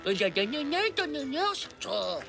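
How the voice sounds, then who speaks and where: A woman voicing a cheeky, naively romantic ten-year-old boy in an animated series, with slangy, excitable, theatrical delivery. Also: sits high, normal speed, creaky, over music, exaggerated voice. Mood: naive, eager.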